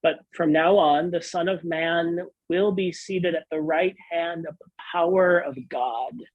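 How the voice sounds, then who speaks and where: Pastor reading scripture dramatically. Husky voice, medium pitch, dry close sound. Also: flat delivery, measured pace, dry recording, phone-quality sound.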